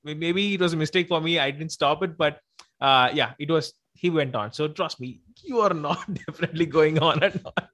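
A man hosting a podcast interview, talking in a rushed, halting way with many restarts. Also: a little high, a bit bright. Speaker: podcast host. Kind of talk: casual interview chat.

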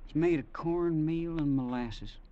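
An actor playing a mild, simple cavalry trooper with a drawling delivery in a vintage radio western. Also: male voice, fairly high pitch, a little slow, warm tone, close to an everyday voice. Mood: wistful. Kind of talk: 1950s radio western acting. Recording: dull vintage radio recording.

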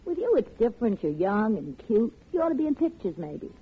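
A worldly chorus girl from a 1940s radio play, with a very husky, raspy voice and sardonic slang. Her pitch swings freely as she lands each wisecrack, and the old recording is dull and muffled. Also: very animated, brisk, heavily acted. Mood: wry, teasing. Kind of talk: 1940s radio drama acting.

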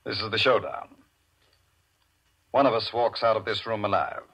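An American man playing a cold, domineering gambler in a 1940s radio drama, with a husky voice and a commanding, mocking, measured delivery. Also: fairly low pitch, fairly even intonation, a bit bright. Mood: cold contempt. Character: Barney, cold domineering high-stakes gambler, taunting and fearless. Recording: muffled old radio recording.

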